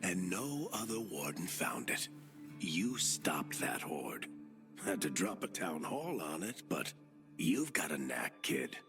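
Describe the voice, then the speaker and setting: A man's voice: sits low, big pitch swings, takes it slowly, music in the background, exaggerated voice. An actor playing a seasoned dwarf team leader in a video game, a wry, fatherly storyteller with a colloquial way of talking. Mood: wry encouragement. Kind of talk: video game cutscene acting.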